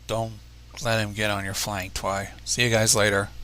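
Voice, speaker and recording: A man's voice: on the low side, little pitch movement, moderate pace, bright, forceful tone, voice pushed down. A man voicing a hearty, enthusiastic young male friend who likes to tease, in a story reading. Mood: teasing. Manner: hearty, jovial.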